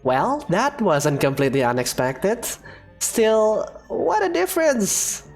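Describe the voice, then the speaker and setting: A woman's voice: medium pitch, big pitch swings, slow, a little mellow, soft background music, pitched above the performer's own voice, heavily acted. A woman voicing a cheerful, reckless female professor who lectures breezily and teases her assistant. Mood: exhilarated. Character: eccentric, cheerful female dust science professor. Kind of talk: fan game voice acting.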